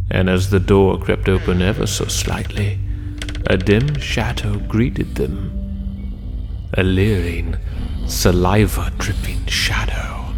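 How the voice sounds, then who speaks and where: An actor playing a sinister, inviting horror storyteller narrating an audio anthology. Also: male voice, sits low, vocal fry, full character voice. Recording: prominent music behind the voice.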